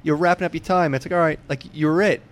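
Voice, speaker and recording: A man's voice: a little high, steady tone, quick pace. A man hosting a casual, chatty interview, informal and slangy, circling back to rephrase his questions. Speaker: interviewer, podcast host.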